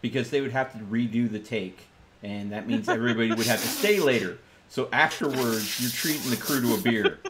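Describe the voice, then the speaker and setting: A man's voice: mid-range voice, fairly quick, fairly bright tone. A man playing a tabletop roleplaying game on a stream, talking casually in character and bantering with the group.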